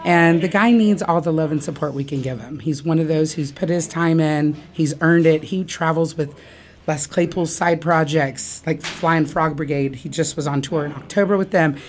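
A woman with a low voice talks as a guest musician. Her delivery is casual and unscripted, with an enthusiastic, informal way of putting things. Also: normal speed, a little hiss or hum. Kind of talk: casual spontaneous talk.

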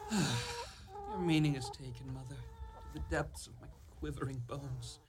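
A woman's voice: rumbling low, takes it slowly, heavily acted. A woman playing a grandiose, cowardly young man in a radio play, with florid, theatrical speech. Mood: gallant, resigned. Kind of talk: live radio play acting. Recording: live studio recording, noisy ambience.

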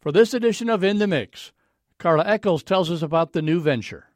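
Male radio host, bright and higher. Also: lively intonation, a little fast, dry recording, clean studio sound, faint music behind. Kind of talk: scripted news narration.

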